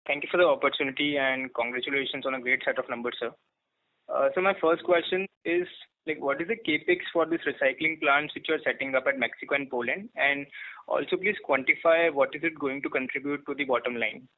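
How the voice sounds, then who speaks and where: A man's voice: mid-range voice, fairly quick. A man with an Indian accent, an analyst caller, politely putting formal questions on an earnings call. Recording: thin conference phone line.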